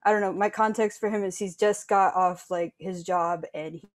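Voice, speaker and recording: A woman's voice: fairly low pitch, fairly even intonation, normal speed. A woman taking part in a workshop, chatting casually and tentatively, peppering her talk with slangy filler. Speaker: workshop participant. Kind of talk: casual chat.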